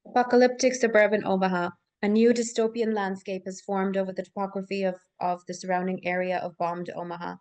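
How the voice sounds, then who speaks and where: A woman's voice: mid-range voice, level tone, brisk, few pauses, darkish tone. A woman reading scripted stage directions as narrator at a live table read, in full descriptive sentences.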